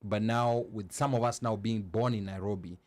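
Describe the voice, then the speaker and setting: Chatty low-voiced male talk show host. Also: steady tone, normal speed, no room sound. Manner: reads viewer comments aloud, chatty, many fillers. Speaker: co-host and producer. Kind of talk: live talk show hosting.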